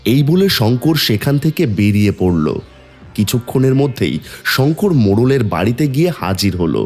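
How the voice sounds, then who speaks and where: A man narrates a cartoon tale in a lively, varied storytelling voice. Light music plays behind him. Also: medium pitch, normal speed.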